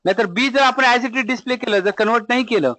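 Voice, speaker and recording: A man's voice: extremely high pitch, fast, pushed and bright, poor audio. An Indian-accented man teaching a live programming class, explaining step by step and checking back with questions. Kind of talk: live classroom lesson with screen demonstration.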